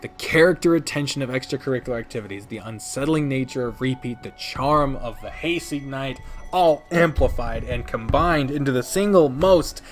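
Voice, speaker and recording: A man's voice: mid-range voice, normal speed. Emphatic man reviewing games over music. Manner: emphatic, dramatic build-ups with rhetorical questions; casual swearing. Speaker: video creator ranking favorite games. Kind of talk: opinionated review commentary, conversational.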